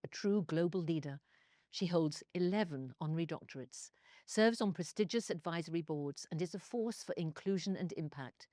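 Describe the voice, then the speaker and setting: Casting: middle-aged female host, low voice, steady scripted intro, dry close mic. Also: normal speed, no echo. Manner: steady formal delivery, quiet listening murmurs. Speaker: guest host, philanthropist. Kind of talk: scripted introduction then interviewing.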